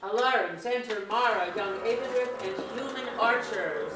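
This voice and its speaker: A woman's voice: a little high, normal speed. A woman reading out scripted stage directions at a staged play reading. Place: indoor auditorium stage. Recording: distant, echoey, noisy auditorium.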